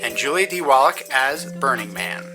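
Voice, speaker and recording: A man reads scripted show credits as an announcer. His mid-pitched voice has a smooth, mock-grand salesman manner, with music clearly playing behind it. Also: animated, normal speed, poor audio. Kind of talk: scripted podcast credits narration.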